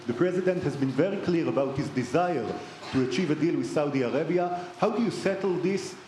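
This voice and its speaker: Polite reporter, slightly high, echoey room. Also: male voice, dark tone, a little hiss or hum. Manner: polite, measured questioning. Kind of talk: asking a question at a press conference.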